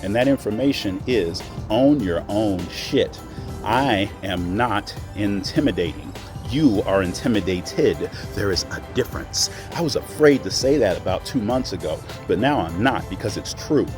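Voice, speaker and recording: A middle-aged American man from Oklahoma giving a reflective monologue in an earnest, deliberate and emphatic way, pausing between phrases. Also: lowish voice, lively intonation, over music. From Oklahoma, United States. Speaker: host.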